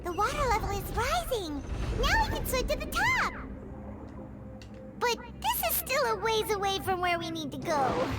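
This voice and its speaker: A woman voicing a tiny, excitable, childlike floating fairy companion who talks about herself in the third person in an anime game. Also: very high-pitched, normal speed. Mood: thoughtful. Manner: speaks of self in third person. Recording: loud game music behind.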